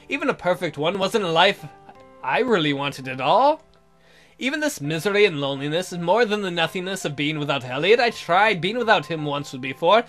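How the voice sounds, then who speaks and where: A man's voice: high-pitched, a bit bright. A man voicing a lovesick, miserable young woman who narrates her romance melodramatically in a visual novel. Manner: melodramatic, pining.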